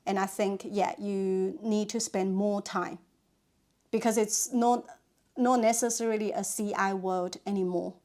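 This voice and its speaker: A woman's voice: medium pitch, fairly even intonation, unhurried, darkish tone. Woman musing in conversation. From China. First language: Chinese. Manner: thoughtful, many "you know" and "uh" fillers. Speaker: guest, language content creator. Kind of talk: casual interview chat.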